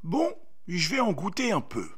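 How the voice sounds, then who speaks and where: A man's voice: high-pitched, wildly swooping pitch, normal speed, a bit bright, breathy edge, pitched above the performer's own voice, full character voice. A man voicing a grumbling but kindly old fruit farmer in a children's storybook, with muttering and exclamations. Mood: pensive muttering.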